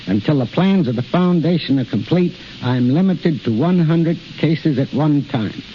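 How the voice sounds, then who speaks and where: A man's voice: a little high, animated, moderate pace. A middle-aged man speaking formally and precisely as a guest in a scripted 1930s radio interview. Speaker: institute head, guest speaker. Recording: hissy, muffled 1930s radio recording.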